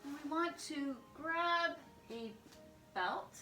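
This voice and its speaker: A woman leading a guided yoga class, giving calm, step-by-step instructions. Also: high-pitched, slow. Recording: echoey room with music behind. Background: music.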